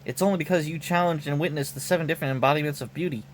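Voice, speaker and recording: A man's voice: on the high side, racing, slight background noise, natural voice. A man voicing a legendary female swordmaster in game dialogue, speaking in a frank, earnest, folksy way. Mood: earnest, encouraging. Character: legendary swordswoman, cheerful, frank, encouraging gunslinger. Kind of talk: game dialogue read aloud. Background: background music.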